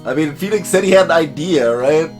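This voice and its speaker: A man gives let's play commentary in a highish voice that swings widely in pitch. He jokes and digresses as he reads game dialogue, with faint music playing behind him. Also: brisk. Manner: animated, joking, digressive. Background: soft game music.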